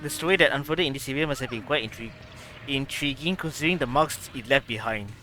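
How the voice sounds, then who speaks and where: A man's voice: mid-range voice, fast talker, sharp tone, slight background noise, lightly acted. A man voicing a thoughtful young investigator who reasons aloud, analytically, in game dialogue. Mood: pondering.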